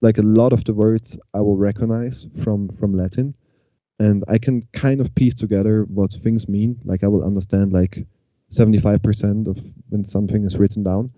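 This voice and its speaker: Austrian man with a dark, muffled voice. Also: on the low side, steady tone, moderate pace, no room sound. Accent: Austrian German. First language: German. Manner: chatty, frequent restarts and fillers. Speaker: guest musician. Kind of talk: casual interview chat.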